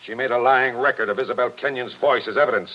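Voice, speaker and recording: A man playing a gruff, relentless police captain with forceful, clipped talk in a 1940s radio drama. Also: mid-range voice, steady tone, quick pace, close to an everyday voice. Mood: insistent, explaining. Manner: forceful, insistent, clipped tough-cop talk. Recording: dull vintage 1940s radio recording.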